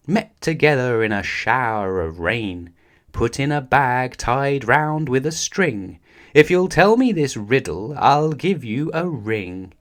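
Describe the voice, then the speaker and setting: A man voicing a cheeky, rude young male squirrel from a storybook, chanting riddles in a taunting sing-song. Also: mid-range voice. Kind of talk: storybook character voice.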